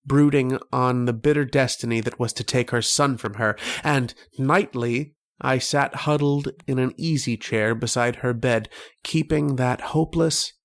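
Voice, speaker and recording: A haunted man, played by an actor, narrates an old-time radio tale of obsession. His delivery is solemn, measured and literary, in a medium-pitched voice with a heavy creak. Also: fairly even intonation, natural voice. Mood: brooding, solemn. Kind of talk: dramatic first-person radio narration.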